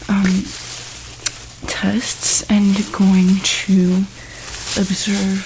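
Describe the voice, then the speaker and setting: A woman softly speaking and whispering in an ASMR roleplay as a calm, gentle doctor, with hesitant, reassuring bedside talk. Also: medium pitch, background noise. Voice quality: soft, breathy, often dropping into whisper. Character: calm, attentive doctor at a monster hospital. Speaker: ASMR roleplayer playing a medical examiner.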